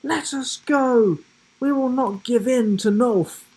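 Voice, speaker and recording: A man's voice: very high-pitched, slow pace, a little mellow, husky, pitched above the performer's own voice, full character voice, low-quality recording. A man voicing a defiant captive giraffe who declaims in a children's storybook.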